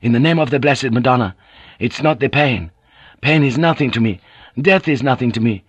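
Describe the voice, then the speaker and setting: A man's voice: medium pitch, moderate pace, husky, no echo, lightly acted. Proud, defiant young brother voiced by a man. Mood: proud, insistent. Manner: polite but bluntly insistent, impassioned. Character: proud, defiant young Japanese Jesuit brother of samurai birth. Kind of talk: dramatic audiobook character acting.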